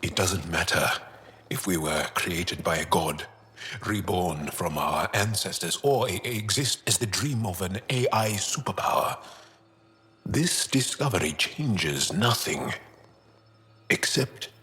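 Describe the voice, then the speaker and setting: A man playing a thoughtful, hopeful alien in a video game, speaking in a measured, reflective way with deliberate pauses. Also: low voice, expressive, sharp tone, heavily acted. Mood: reflective wonder. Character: calm, awestruck male alien philosopher, thoughtful and hopeful. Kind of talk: video game dialogue acting. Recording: music under the voice.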